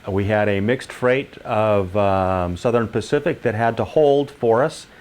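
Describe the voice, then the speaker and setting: A man's voice: fairly low pitch, unhurried, a little mellow, smooth. An older man giving an informal, explanatory tour of a model railroad layout as its hobbyist guide.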